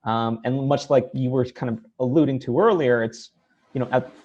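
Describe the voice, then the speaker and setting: A man hosting a casual, reflective interview, talking in a halting way and repeating words as he reasons. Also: mid-range voice, darkish tone, lo-fi sound. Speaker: interview host.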